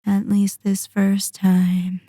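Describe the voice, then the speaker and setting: Woman acting a slow, dark-voiced, possessive vampire mistress. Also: medium pitch, completely flat delivery, dry recording, clean studio sound. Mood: seductive, commanding. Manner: slow, deliberate, sighing, condescending pet-name endearments. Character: possessive, sadistic, seductive female vampire mistress. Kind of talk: roleplay ASMR, intimate dramatic monologue. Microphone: close, dry microphone.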